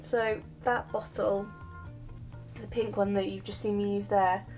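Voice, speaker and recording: A woman's voice: medium pitch, little pitch movement, a little slow, darkish tone, faint music behind. A woman chatting casually while she demonstrates styling her wavy hair, her voice just recovered from illness. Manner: chatty, self-deprecating, explains while doing. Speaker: hair vlogger. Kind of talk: casual hair tutorial chat.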